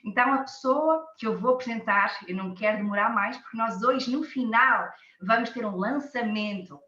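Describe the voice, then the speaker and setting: A woman's voice: fairly high pitch, moderate pace, bright, forceful tone, roomy sound, lo-fi sound. Upbeat woman with a French-tinged Portuguese accent. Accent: French-influenced Portuguese, shaped by years in Portugal. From France. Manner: enthusiastic, repetitive emphasis. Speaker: host of a health webinar. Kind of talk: live online presentation.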